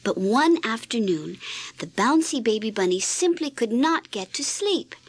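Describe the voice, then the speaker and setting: A woman reading a scripted children's read-along story in an expressive, deliberate storytelling manner. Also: fairly high pitch, slightly rough, no room sound. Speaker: storybook narrator.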